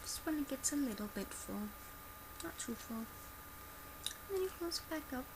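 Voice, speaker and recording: A woman in her twenties speaking softly and quietly in a gentle, instructional ASMR demonstration, recorded at home. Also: sits high, expressive, moderate pace. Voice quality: soft, quiet. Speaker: ASMR creator playing a customer service presenter. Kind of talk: soft-spoken ASMR product demonstration. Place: small bedroom, indoors. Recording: noisy amateur home webcam recording. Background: clear background noise.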